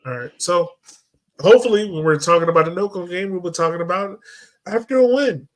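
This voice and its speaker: A man's voice: sits high, expressive, brisk. A man hosting a casual sports podcast, talking informally and in slang to his co-hosts and listeners. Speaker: podcast host.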